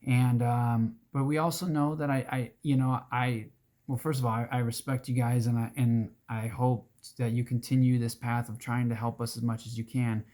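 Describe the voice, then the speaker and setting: A hoarse man speaking earnestly to his group as its organizer, with frequent restarts and throat-clearing. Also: mid-range voice, flat delivery, normal speed, warm tone. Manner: earnest, rambling with restarts and fillers. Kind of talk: casual direct-to-camera talk.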